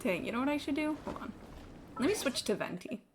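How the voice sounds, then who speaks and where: Casual, sweary woman streamer with highish voice. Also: sing-song, fast, background noise. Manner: blunt, sweary, informal. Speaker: video game live streamer. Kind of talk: casual reacting chat while gaming.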